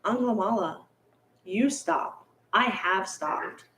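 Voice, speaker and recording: A woman's voice: lowish voice, unhurried, vocal fry, far from the mic. Woman voicing a serene wise teacher. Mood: calm. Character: serene wise male teacher, calm and firm. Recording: echoey room.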